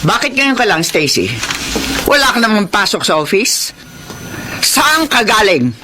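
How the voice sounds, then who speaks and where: A woman's voice: mid-range voice, very animated, measured pace, noisy background. A woman acting a stern, outraged middle-aged mother in a Filipino radio drama, scolding with emphatic delivery. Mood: accusing, angry. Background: music.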